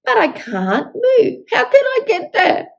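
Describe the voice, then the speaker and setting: A woman's voice: very high voice, wildly swooping pitch, measured pace, gravelly, pitched above the performer's own voice, exaggerated voice. A woman voicing a nervous, bedridden man in a children's storybook, with an anxious, questioning manner. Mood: worried.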